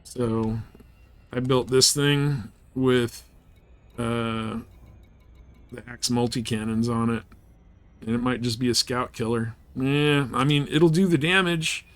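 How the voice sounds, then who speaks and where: A man's voice: medium pitch, fairly even intonation, slow, long pauses, clean tone. Laid-back man chatting on a game stream. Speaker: live streamer. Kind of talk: casual gaming livestream chat.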